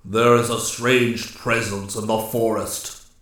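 A man voicing a grave, stern old immortal ruler of the forest, speaking in a solemn, deliberate, commanding way for a storybook. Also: fairly low pitch, little pitch movement, fairly bright tone, very echoey, recorded from a distance. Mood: grave, gentle.

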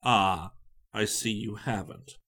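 A man playing a solemn, deliberate gentleman. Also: lowish voice, pitched below the performer's own voice, heavily acted. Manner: slow, calm, dryly polite.

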